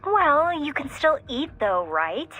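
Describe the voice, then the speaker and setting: A woman's voice: sits high, sing-song, slow, fairly bright tone, lo-fi sound, faint music behind, exaggerated voice. A woman voicing a friendly, playful and chatty support teammate in an English-language video game. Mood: friendly, teasing. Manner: chatty, enthusiastic.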